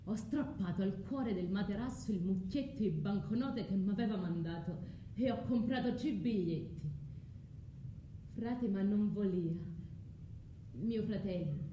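Attrice nel ruolo di una moglie meridionale abbandonata, voce morbida. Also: female voice, dark tone, close to an everyday voice. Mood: bitter, remembering. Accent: southern Italian. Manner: dramatic, halting, repeats words insistently. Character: abandoned southern Italian emigrant wife, Medea-like, bitter, yearning. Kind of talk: theatrical radio drama monologue. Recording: roomy sound with faint rumbling noise.

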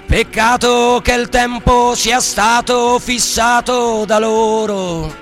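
A man delivers a protest ballad in a high voice, very slowly. He moves between singing and declaiming in long rhythmic phrases, with light music behind him. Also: fairly even intonation, a bit bright. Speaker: singer and stage performer. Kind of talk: protest ballad, sung and chanted in radio theatre.

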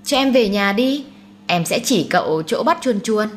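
A woman's voice: medium pitch, animated, normal speed, slight background noise, voice pushed up, lightly acted. A woman voicing a naive, childlike young wife who pleads insistently in an audiobook story.

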